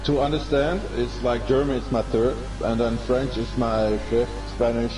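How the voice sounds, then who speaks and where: A man whose first language is Dutch co-commentates a sim race in English. He talks at a middling pitch and often stops to search for words with fillers and restarts. Music plays clearly behind his voice. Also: little pitch movement, normal speed, poor audio. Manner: hesitant, many fillers and restarts. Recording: music in the background.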